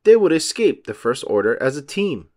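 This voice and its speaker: A man narrating a children's storybook aloud, phrasing each short sentence deliberately for young listeners. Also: fairly high pitch, very animated, a little mellow.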